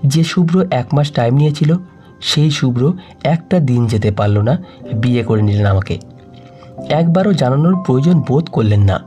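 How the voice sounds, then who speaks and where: A man narrating an emotional audio story in the first person, reading in a soft, sorrowful way. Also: mid-range voice, lively intonation, moderate pace, faint music behind.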